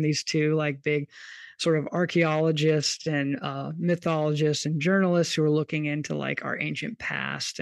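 A man hosts a casual podcast conversation, wandering through long, curious questions. His voice sits high for a man and stays level, with little rise and fall. The sound is dry and close. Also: dry recording. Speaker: podcast host. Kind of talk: casual interview.

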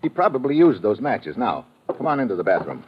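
An actor playing a shrewd, hard-boiled detective with blunt, colloquial delivery in an old radio crime drama. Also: male voice, lowish voice, sing-song, fairly quick, background noise. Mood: explaining, confident. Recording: dull lo-fi old radio recording.

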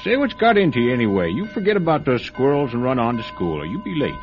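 A man's voice: mid-range voice, big pitch swings, fast talker, over loud music, lightly acted. An actor playing a stubborn, busy farmer father in a radio drama, folksy and brusque in manner. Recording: dull, band-limited radio recording.

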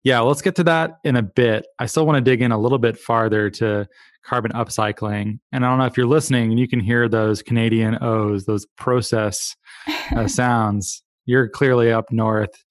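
A man's voice: mid-range voice, steady tone, moderate pace, no room sound. Playful man hosting a podcast, dry sound. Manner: chatty, playful asides and self-deprecating jokes. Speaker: podcast host. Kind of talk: conversational interview.

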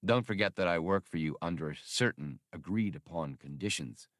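A man's voice: sits low, animated, normal speed, dry recording, clean studio sound, pitched below the performer's own voice. A man voicing a suave, mustached veteran gunman in an audiobook, speaking in a polished, coolly amused manner. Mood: cool, firm.

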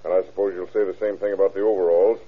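An actor playing a commanding, shrewd American police captain with a brusque interrogator's manner in an old-time radio crime drama. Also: male voice, low-pitched, little pitch movement, very fast. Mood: pressing. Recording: tinny, rough old radio recording.

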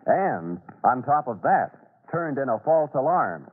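A man's voice: medium pitch, big pitch swings, a little slow, breathy edge, phone-quality sound. A man narrating a classic radio adventure serial in an earnest, suspense-building, dramatic storytelling style on an old recording.

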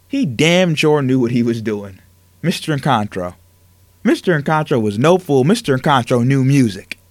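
An opinionated male sports talk host with a fairly high voice that leaps around as he hammers his points home. He fires off rhetorical questions and punchy one-word verdicts. The sound is dry and close to the mic. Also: no room sound. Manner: emphatic, animated rants with rhetorical questions.

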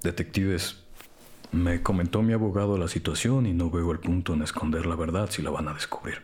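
Man playing a deep-voiced, troubled murder suspect. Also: fairly even intonation, brisk, a little mellow, close to an everyday voice. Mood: somber confession. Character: troubled male murder suspect, defensive and aggrieved. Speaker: actor in an audio crime drama. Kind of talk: dramatic audio fiction dialogue.

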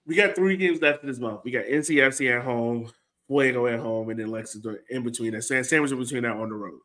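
A man's voice: mid-range voice, fast talker, a bit bright. Casual male sports podcast host. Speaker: host of a soccer fan podcast.

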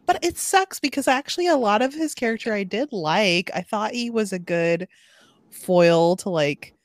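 A woman chatting casually as a podcast co-host, telling things in an animated way and acting out quotes. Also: medium pitch, moderate pace, no echo. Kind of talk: casual chat about a novel.

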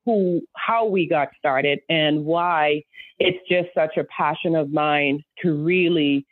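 A woman's voice: low-pitched, slow, no room sound. A woman from the Bahamas, a guest telling her life story in a podcast interview, earnest and reflective, often restarting her sentences. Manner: earnest, reflective, frequent restarts and soft laughs. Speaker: guest, nonprofit founder. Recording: tinny phone-like line, dry.

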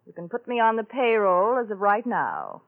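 Mocking blackmailing wife, tinny radio. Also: female voice, medium pitch, wildly swooping pitch, normal speed. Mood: sardonic, bargaining. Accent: American. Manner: mocking, drawling endearments. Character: cool, mocking blackmailing woman, scorned secret wife. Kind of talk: 1940s radio drama acting. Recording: tinny old radio broadcast.

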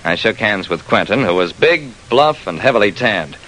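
A man's voice: fairly low pitch, lively intonation, normal speed, fairly bright tone, low-quality recording. A man playing a terse, wry private detective who narrates his own case in an old-time radio drama. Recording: vintage radio recording.

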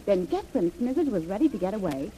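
A woman's voice: medium pitch, sing-song, fast talker, dark tone, close to an everyday voice. An actress playing a clever, breezy, self-assured woman in an old-time radio adventure. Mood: triumphant. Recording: faintly noisy old radio recording.

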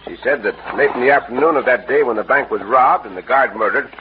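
An actor playing a shrewd, courteous Mexican cowboy adventurer in an old Western radio drama, with a Mexican accent and measured, formal phrasing. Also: male voice, mid-range voice, close to an everyday voice. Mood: pondering. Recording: muffled old radio broadcast, faint noise.

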